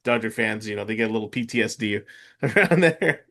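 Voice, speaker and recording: A man's voice: on the low side, expressive, brisk, a bit bright. A man hosting a sports podcast, chatting casually and agreeing with his guest. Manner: supportive, agreeable, short affirmations. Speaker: podcast host.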